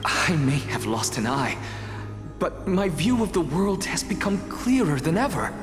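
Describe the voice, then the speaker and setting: Game role: a proud one-eyed young scholar, soft and breathy, lively pitch, echoey with music under. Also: male voice, unhurried. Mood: defiant. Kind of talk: game voice acting. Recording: roomy echo with music behind.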